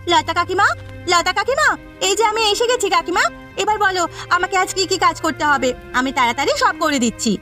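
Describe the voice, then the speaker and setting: A woman's voice: extremely high pitch, fairly quick, pushed and bright, faint music behind, pitched above the performer's own voice. A woman voicing a poor, earnest and deferential village girl, an elder sister in a cartoon story. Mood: apologetic pleading.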